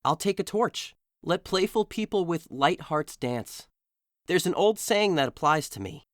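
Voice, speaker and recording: A man's voice: fairly high pitch, expressive, unhurried, dry recording, clean studio sound. An actor playing a brooding, lovesick young nobleman in an audio drama, earnest and reluctant. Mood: gloomy.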